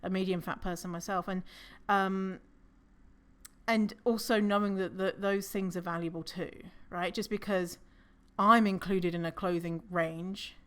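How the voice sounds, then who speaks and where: A non-binary podcast host with a low voice, talking in a casual, rambling solo monologue with fillers, self-corrections and casual swearing. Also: steady tone, a little slow, a bit bright, clear, resonant tone. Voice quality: low voice for a woman's range.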